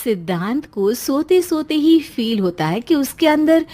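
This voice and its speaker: A woman narrator tells a scripted story. Her voice is high, and she delivers it as storybook narration. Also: very animated, measured pace, mellow.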